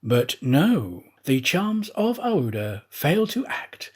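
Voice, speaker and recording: A male actor narrates a storybook in a husky voice. His reading is dramatic and expressive, with lively, wide-ranging intonation. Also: fairly high pitch, takes it slowly. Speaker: audiobook reader, professional actor.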